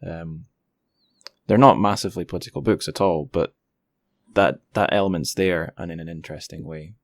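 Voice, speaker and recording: A man with a low-pitched voice hosts a casual interview. He talks thoughtfully, feeling his way hesitantly toward each point. The sound is dry, with very little room in it. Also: normal speed, dry recording. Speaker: podcast host interviewer.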